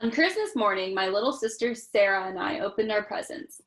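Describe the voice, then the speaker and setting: A woman's voice: mid-range voice, lively intonation, a little fast, a bit bright. A woman reading a children's picture book aloud expressively, with chatty asides spoken directly to young listeners. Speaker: storytime reader.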